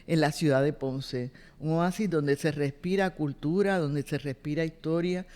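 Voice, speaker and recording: A woman in her seventies with a Puerto Rican accent, recalling her family in an oral history interview, with unhurried fillers. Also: low voice, a little mellow. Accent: Puerto Rican Spanish. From Puerto Rico. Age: 70s. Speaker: interviewee, retired cultural director. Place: boardroom.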